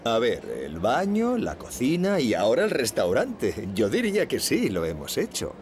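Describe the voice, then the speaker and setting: A man playing a patient, cheerful young father in a Castilian Spanish cartoon dub, explaining things gently and encouragingly. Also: medium pitch, wildly swooping pitch, moderate pace, slight background noise. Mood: reassuring. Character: patient, cheerful young dad of a small boy. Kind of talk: children's cartoon dubbing.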